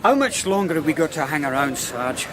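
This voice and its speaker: A man's voice: on the high side, very animated, brisk. An actor playing a hapless, plain-spoken junior police constable who moans his way through a radio crime drama. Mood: grumbling. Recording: noisy outdoor-scene radio drama recording.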